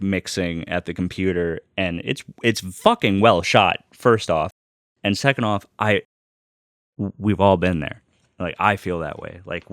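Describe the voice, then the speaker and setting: A man with a lowish voice talks casually to his viewers in a dry-sounding recording. He gushes with open enthusiasm, repeats phrases for emphasis and swears freely as he shows off something he loves. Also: fairly bright tone, no echo. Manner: gushing, enthusiastic fan talk with repetition and swearing. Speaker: host of a personal video series. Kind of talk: casual unboxing chat.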